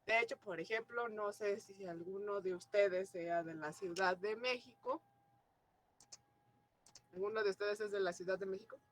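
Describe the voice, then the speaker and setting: A woman's voice: mid-range voice, normal speed. A Mexican woman teaching a class, explaining ideas and often checking in with her students through questions. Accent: Mexican Spanish. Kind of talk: classroom lecture.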